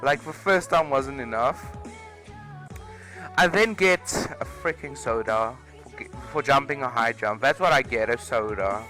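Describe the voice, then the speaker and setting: A man's voice: mid-range voice, unhurried, bright, forceful tone. A young man, telling a personal story casually with exaggerated comic emphasis, over music. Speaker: video creator.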